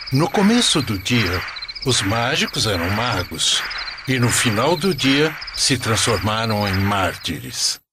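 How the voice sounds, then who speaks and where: A man's voice: mid-range voice, noisy background. A Brazilian man reading scripted storytelling narration for a religious cartoon.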